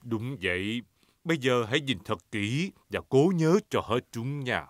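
A man's voice: medium pitch, lively intonation, unhurried, no room sound, studio quality, lightly acted. A man voicing a jolly, patient father pig in a children's cartoon dub, playfully explaining things with a southern Vietnamese accent. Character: jolly, patient daddy pig playing along.

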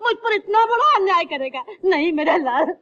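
A woman's voice: very high voice, expressive, moderate pace, a bit bright. An actress playing a poor village mother who pleads with her son through tears, in an old film drama. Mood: tearful pleading. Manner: pleading, tearful. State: crying. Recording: dull old film soundtrack.

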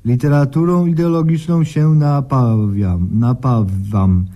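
A man's voice: medium pitch, fairly even intonation, unhurried, warm tone, close to an everyday voice. A man playing an anxious, muddled, stubborn patient in a comic radio sketch, talking plaintively in folksy broken grammar.

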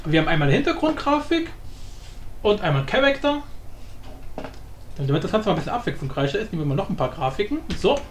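A man giving a casual software tutorial, rambling informally in slang and thinking aloud. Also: high voice, sing-song, quick pace, long pauses. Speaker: tutorial host. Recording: echoey room, faint background noise.

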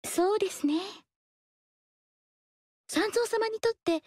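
An actress voicing a gentle, melancholy young female dancer who speaks softly and politely in a game. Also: very high voice, slow pace, dry recording. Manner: soft, polite and reserved. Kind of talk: game dialogue. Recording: dry studio voice.

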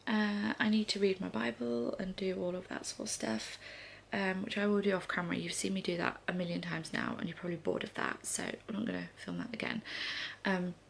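A woman in her thirties chatting to camera in a soft, often half-whispered way on a living room sofa, a little congested. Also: lowish voice, expressive, fairly quick, gravelly, a little hiss or hum. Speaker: vlogger. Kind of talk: casual morning chat to camera.

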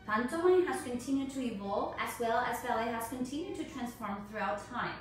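A middle-aged woman, a ballet teacher, giving a prepared educational lecture in deliberate phrases with frequent pauses. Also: fairly high pitch. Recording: echoey room, distant mic, music behind.

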